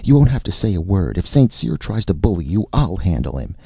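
A man voicing a feisty, bossy woman in a story, a sharp-tongued agent who scolds briskly and emphatically. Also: sits low, big pitch swings, gravelly, breathy edge, dry recording, lo-fi sound, voice pushed up, full character voice. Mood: firm, bossy.

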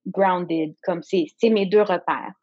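A woman in her thirties whose first language is English talks slowly and reflectively as an interview guest, often pausing to find her words. Her voice comes through a dull, lo-fi recording. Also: on the low side. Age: 30s. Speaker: guest entrepreneur. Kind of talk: candid conversational interview.

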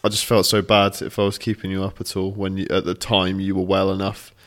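A young man with a fairly low voice co-hosts a casual podcast. He talks in an easygoing way and likes to tease. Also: completely flat delivery, a little fast. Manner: laid-back, playfully mocking. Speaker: podcast co-host. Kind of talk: casual chat.

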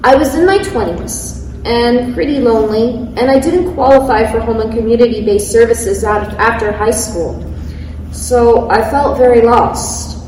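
A woman's voice: fairly high pitch, a little slow, darkish tone, a little hiss or hum. A woman in her thirties on a panel, reading prepared remarks in an eager way, laughing at herself when she stumbles. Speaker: panelist, athlete speaker. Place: large event hall. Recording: echoey hall, mic at a distance.

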